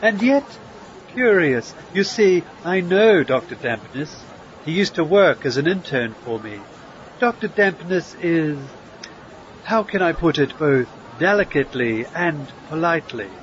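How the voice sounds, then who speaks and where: Man playing a slow, condescending science fair judge. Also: on the high side, sing-song. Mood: sardonic. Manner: drawn-out, mock-polite, disdainful. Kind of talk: comedic audio drama acting. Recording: dull, noisy ambience.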